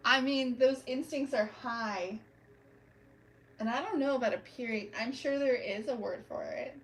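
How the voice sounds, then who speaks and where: A woman's voice: high-pitched, measured pace, recorded from a distance, poor audio. A woman chats away to her live viewers while she works on a manicure, talking through every step as she goes. Her voice sits a little off the mic. There is a faint hum of room noise behind her. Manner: chatty, self-narrating, talks to chat while working. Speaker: livestream host doing nail art. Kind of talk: casual chat with live viewers. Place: home, doing a manicure.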